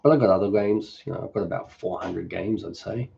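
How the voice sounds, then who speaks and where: A middle-aged Australian man hosting a cooking channel, reading and answering viewer questions in a relaxed, rambling, joking way during a live stream. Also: low voice, brisk, vocal fry, highs cut off.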